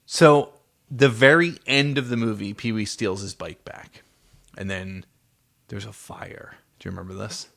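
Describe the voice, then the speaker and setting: A man's voice: fairly low pitch, measured pace, vocal fry, fairly bright tone. A middle-aged man co-hosting a casual movie chat with a child, asking prompting questions in an encouraging way. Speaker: podcast co-host, parent talking with child.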